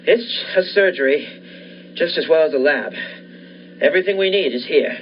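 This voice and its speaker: A man's voice: fairly high pitch, expressive, normal speed, natural voice. A young man playing an obsessed, commanding scientist and surgeon in the style of a 1940s radio thriller. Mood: earnest, determined. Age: young adult. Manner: commanding, insistent. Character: obsessed scientist surgeon bent on reviving his dead wife. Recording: dull lo-fi audio, faint noise.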